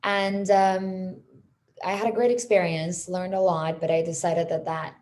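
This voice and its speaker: A woman's voice: fairly low pitch, flat delivery, unhurried, a little mellow. A woman in her thirties from Iran, whose first language is Persian, speaking in a friendly way on a panel with many fillers. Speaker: panelist, lawyer. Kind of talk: panel talk over video call.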